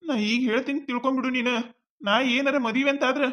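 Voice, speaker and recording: A woman's voice: high voice, fairly even intonation, quick pace, a bit bright. A woman with a North Karnataka Kannada accent acting as a young man reassuring his girlfriend. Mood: insistent. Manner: coaxing, reassuring. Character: young man boyfriend, devoted and insistent.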